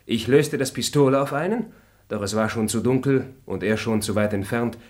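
An actor voicing a composed, fair-minded gentleman traveler in a radio play, speaking in a measured, formal and articulate way. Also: medium pitch, natural voice. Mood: calm. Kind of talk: German radio play acting.

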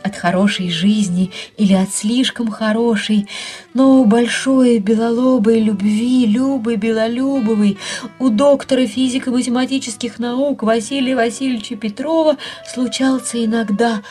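Expressive female radio storyteller over music. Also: fairly high pitch, normal speed. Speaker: narrator. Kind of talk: scripted radio short-story narration. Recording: music behind.

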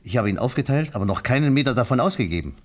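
An actor playing a self-assured warehouse manager in a radio crime drama, reporting in a formal, exact, insistent way. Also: male voice, mid-range voice, expressive, very fast, lightly acted. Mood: factual.

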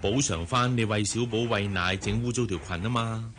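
An actor with a Hong Kong Cantonese accent playing a gentle, coaxing father and boss in a radio drama. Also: on the low side, normal speed, slight background noise, lightly acted. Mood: friendly, persuasive. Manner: soft, coaxing. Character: gentle, persuasive male boss and young father.

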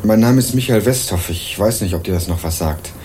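An actor playing an earnest young male student investigator in a radio crime drama, narrating and questioning in a measured, dry way. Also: fairly low pitch, darkish tone, a little hiss or hum, lightly acted. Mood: earnest, probing. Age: young adult. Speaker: narrator and lead character.

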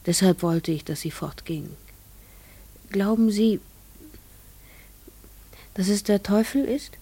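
An actress playing a troubled middle-aged farmer's wife in a German radio play, guarded, halting and fearful. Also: female voice, medium pitch, warm tone, husky. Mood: confiding, hushed.

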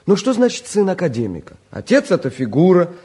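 A man's voice: high voice, wildly swooping pitch, brisk, mellow. An actor playing a self-important young man of privilege in a radio play, pompous while affecting modesty. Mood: smooth, self-important. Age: young adult. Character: suave, self-important young son of a famous academician. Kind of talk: 1950s radio play acting.

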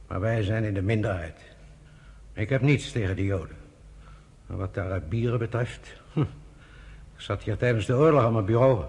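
An actor in a radio play, playing a pragmatic, avuncular senior British official with a husky, urbane, confiding manner. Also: male voice, fairly low pitch. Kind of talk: Dutch radio play acting.

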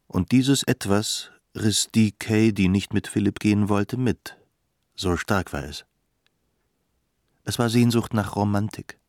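A man with a low voice narrates a literary radio play. The sound is dry and close, and the delivery is scripted prose storytelling. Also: darkish tone, no room sound.

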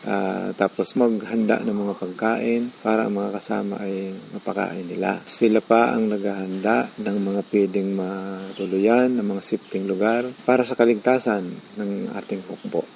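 A man's voice: lowish voice, fairly even intonation, moderate pace, gravelly, darkish tone, a little hiss or hum, low-quality recording. A man giving a spoken testimonial about his own movement.

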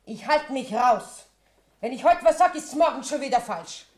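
A woman's voice: high-pitched, expressive, moderate pace, reverberant. A woman acting a bossy yet evasive Bavarian tavern landlady in a 1960s German radio play. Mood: defensive.

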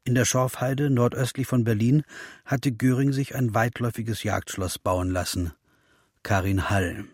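A man playing a sober, sardonic chronicler, narrating in a measured, precise documentary style for a radio drama. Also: medium pitch, fairly even intonation, darkish tone, breathy edge, no room sound, studio quality. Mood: matter-of-fact.

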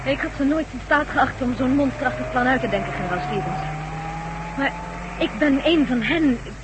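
Woman playing a high-voiced, troubled scientist. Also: close to an everyday voice. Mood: troubled, resigned. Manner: halting, distressed. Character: troubled, torn woman scientist doubting her own side. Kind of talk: Dutch radio drama acting. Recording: noisy car ambience, old radio recording.

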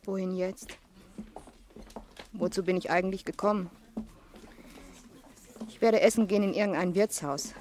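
A woman's voice: mid-range voice, fairly even intonation, moderate pace, pauses often, darkish tone, slight background noise, natural voice. An actress playing a lonely, anxious young woman thinking to herself hesitantly in a radio drama. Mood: restless. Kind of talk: radio drama interior monologue.

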